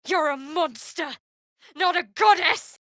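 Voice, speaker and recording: A guarded young woman cleric who serves a dark goddess. Her voice sits a little high, with a wry, sardonic edge to the delivery. The recording is very dry, as game dialogue with no room around it. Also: takes it slowly, no room sound. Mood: angry. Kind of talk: video game character voice lines.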